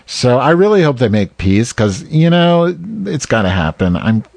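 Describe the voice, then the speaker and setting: A man chatting in a loose, rambling way, dropping sarcastic jokes and curses. His voice sits at an ordinary pitch and sounds dry and close, with no room echo. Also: very animated, moderate pace, darkish tone. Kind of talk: casual comedic chat.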